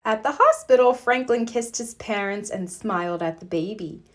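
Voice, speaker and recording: A woman narrating a children's picture book aloud, gentle and expressive. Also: medium pitch, moderate pace. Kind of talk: read-aloud storybook narration.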